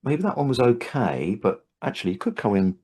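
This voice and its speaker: An older man, a trainer hosting a relaxed interview, talking thoughtfully with frequent restarts and hesitations. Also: mid-range voice, a little fast, breathy edge. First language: English. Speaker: Havening trainer and host. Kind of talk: casual interview chat.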